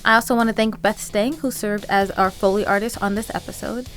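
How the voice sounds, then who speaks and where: A woman's voice: mid-range voice, steady tone, a little fast, a bit bright. A young adult woman hosting a radio show in a casual, scripted style. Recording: faint music bed under the voice.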